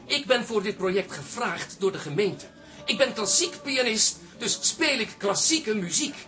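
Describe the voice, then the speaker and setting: An actor playing a pompous, easily offended Dutch classical pianist in a radio drama, speaking formally and indignantly. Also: male voice, high voice, lively intonation, measured pace, sharp tone, full character voice. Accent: Dutch, from the Netherlands. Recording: faint music behind the voice. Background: music.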